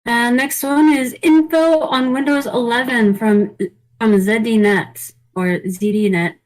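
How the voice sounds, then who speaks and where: Female podcast co-host, slightly high voice, casual delivery of news items. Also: fairly even intonation, unhurried, darkish tone, rough recording.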